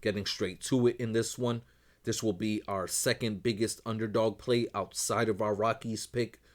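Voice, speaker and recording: A man who is losing his voice, running quickly through his sports betting picks as host, in a brisk set routine. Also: lowish voice, fairly even intonation. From Northeast United States. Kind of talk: rapid betting picks rundown.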